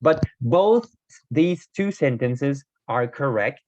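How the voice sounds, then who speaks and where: A man whose first language is not Korean, explaining Korean grammar in a casual video lesson, hesitant with many fillers and self-corrections, his voice a little hoarse. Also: mid-range voice, expressive, slow, a little mellow, dry recording. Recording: dull, dry lo-fi recording.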